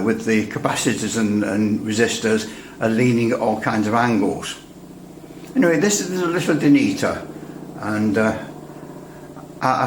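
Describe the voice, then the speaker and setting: An echoey indoor recording of a man with a mid-pitched voice. He talks through a technical demonstration casually, rambling and hesitating as he goes, with a dry sense of humour. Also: a little hiss or hum. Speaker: radio hobbyist presenting a repair demonstration. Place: indoors at a workbench. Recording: echoey room.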